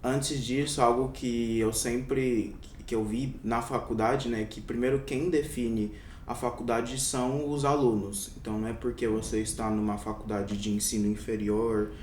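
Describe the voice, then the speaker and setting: A young man from Brazil speaks in a relaxed, reflective way, pausing often with fillers as he answers interview questions. His voice sits at a medium pitch and comes from a little way off the mic. The room is echoey, with a faint rumble in the background. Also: recorded from a distance. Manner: reflective, many hesitations and fillers. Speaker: interview guest, doctor. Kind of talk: casual interview.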